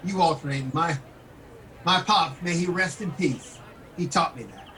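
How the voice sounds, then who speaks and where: A man playing an earnest, folksy, nostalgic street interviewee in an improv comedy sketch, telling his tale deliberately from a distant mic. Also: a little high, a bit bright. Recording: distant mic, faint background noise.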